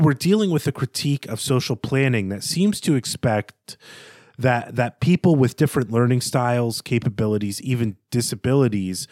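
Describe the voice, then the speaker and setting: A man's voice: fairly low pitch, a little mellow, no room sound, studio quality. A man speaking as a podcast host in conversational literary analysis, thoughtful and articulate, with hesitant stammered repeats. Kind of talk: literary analysis in conversational monologue, with quoted passages.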